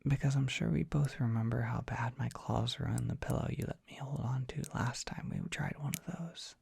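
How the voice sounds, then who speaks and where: A performer playing a dorky, gentle young dragon boy in an ASMR roleplay, speaking in a soft breathy whisper, tender and bashful. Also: medium pitch, fairly even intonation, moderate pace, dry recording, heavily acted. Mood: fond, bashful. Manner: tender, bashful, hesitant, softly reassuring. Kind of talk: ASMR roleplay, intimate comforting monologue.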